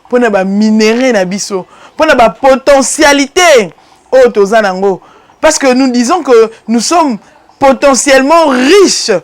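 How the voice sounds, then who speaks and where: A woman with a Congolese accent holds forth as the host of her own commentary show, her voice sitting at an ordinary middle pitch. She drives her points home emphatically, jumping up in pitch and volume, then sinking low and soft. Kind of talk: impassioned political commentary monologue.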